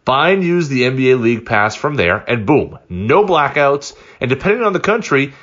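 A man hosting a podcast, reading a sponsor ad in an upbeat, conversational sales pitch. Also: sing-song, moderate pace, fairly bright tone, highs cut off. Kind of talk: conversational scripted ad read.

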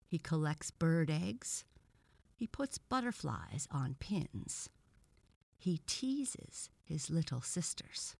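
Woman voicing a pompous, severe old-school frog professor, slow delivery. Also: low-pitched, very animated, vocal fry, darkish tone, no room sound, heavily acted. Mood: scornful lecturing. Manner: pompous, sarcastic, lecturing. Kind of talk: children's storybook reading.

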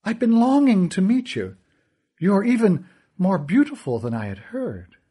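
A man voicing a scheming villainous uncle, speaking in a slow, dripping, sneering way. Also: high-pitched, very animated, dark tone, slightly rough, voice pushed up, full character voice. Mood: smug, mocking. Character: oily scheming villainous uncle, smug and mocking.

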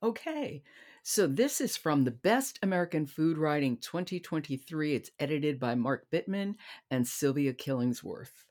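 A woman's voice: low-pitched, animated, moderate pace, a little mellow. A woman hosting a podcast, chatting casually about books with her guest. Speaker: podcast host. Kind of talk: casual book chat.

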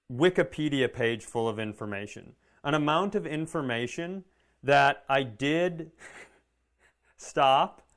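A man's voice: medium pitch, fairly bright tone. A man co-hosting a comedy podcast, telling stories in casual chat with sarcasm, swearing and acted-out dialogue.

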